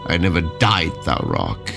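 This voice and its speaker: An actor playing a vengeful tyrant king returned from death, cold and menacing, in a dark fantasy audio drama, brooding and grandiose. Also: low-pitched, huge pitch swings, gravelly, heavily acted. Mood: brooding menace. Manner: slow, brooding, grandiose pronouncements. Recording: music under the voice. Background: dramatic music.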